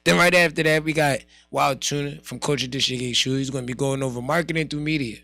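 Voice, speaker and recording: Gravelly, forceful American male radio host. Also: medium pitch, fairly even intonation, fast, no room sound, clean studio sound. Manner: repeats phrases for emphasis; emphatic, streetwise slang. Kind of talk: casual morning radio show patter.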